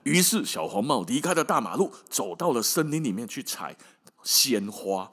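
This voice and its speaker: A man's voice: medium pitch, big pitch swings, normal speed, a bit bright, slightly rough. A man with a Taiwanese accent, a podcast host telling a fairy tale casually with irreverent, colloquial comic asides.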